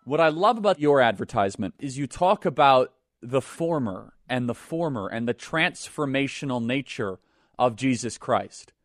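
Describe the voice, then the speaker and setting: A man hosting a talk show, giving forceful, indignant commentary and introducing his guest. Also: mid-range voice, animated, normal speed, no echo.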